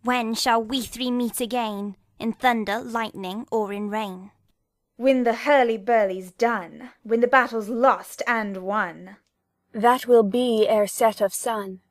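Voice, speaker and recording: A woman's voice: a little high, fairly even intonation, slow pace, no room sound, studio quality. A woman playing an eerie witch, chanting Shakespearean verse in a dramatic audiobook reading. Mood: eerie, conspiring.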